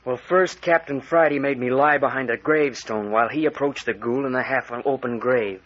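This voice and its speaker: A man's voice: medium pitch, normal speed, close to an everyday voice. An actor playing an earnest, hot-headed young American man, urgent and forthright, in an old radio drama. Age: young adult. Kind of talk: 1940s American radio acting. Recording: muffled vintage radio recording.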